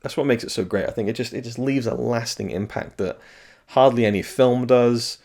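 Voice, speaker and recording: Gravelly, slightly hoarse man musing. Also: medium pitch, normal speed, darkish tone. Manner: rambling, thoughtful, many restarts and fillers. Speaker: video game reviewer. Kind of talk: casual solo commentary.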